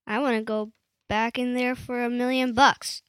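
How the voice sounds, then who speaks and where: Worried schoolboy played by a female amateur, highish voice, readers' theatre, close and dry. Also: level tone, normal speed, smooth, no echo, lightly acted. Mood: anxious. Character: anxious schoolboy overwhelmed by homework.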